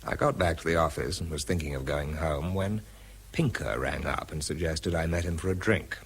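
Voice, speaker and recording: A man's voice: extremely low pitch, normal speed, husky, heavily acted. An actor playing a weary, sardonic research scientist who tells his own story in a radio drama, dry and understated. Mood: dry, reflective. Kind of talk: first-person radio drama narration and dialogue.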